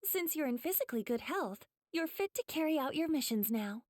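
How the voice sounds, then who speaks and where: A high, very lively young woman's voice for an anime game mentor. She is gentle and polite, with a teasing sweetness. The sound is close and dry. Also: a little fast, dry recording. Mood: calm, kind. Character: gentle, encouraging young female demon slayer mentor.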